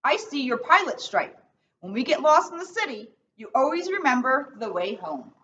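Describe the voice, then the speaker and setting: Gentle, reassuring storybook zebra mum voiced by a woman, lively and bright, a bit far from the mic. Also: high voice, moderate pace. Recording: distant mic, dull sound, faint noise.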